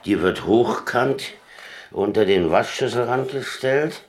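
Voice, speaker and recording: An actor playing a sardonic, gruff German prisoner in a radio drama, dry, colloquial and grumbling. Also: male voice, mid-range voice, slow pace, very noisy, lightly acted. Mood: scheming, dry.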